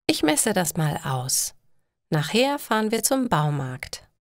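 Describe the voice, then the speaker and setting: A caring middle-aged mother, voiced by a woman narrator, gentle and practical. Her storybook lines are slow and encouraging, recorded dry and close. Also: fairly low pitch, big pitch swings, dry recording, full character voice. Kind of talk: acted dialogue in a slow learner's audio story.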